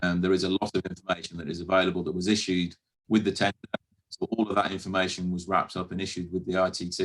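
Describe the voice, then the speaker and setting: Very deep-voiced, flat British man. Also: low-quality recording. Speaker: council officer presenting to a committee. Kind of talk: formal explanatory meeting talk.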